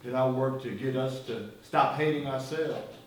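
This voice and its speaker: An actor playing a weary, outspoken activist in a stage play, brooding and intense. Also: male voice, mid-range voice, moderate pace, lightly acted. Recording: echoey, distant stage recording, faint hiss.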